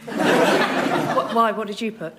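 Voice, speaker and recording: A woman's voice: medium pitch, slow, a loud crowd, heavy background noise, natural voice. A woman playing a breezy, scatterbrained but sincere exam-taker in radio sketch comedy, matter-of-fact in delivery. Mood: breezily sincere.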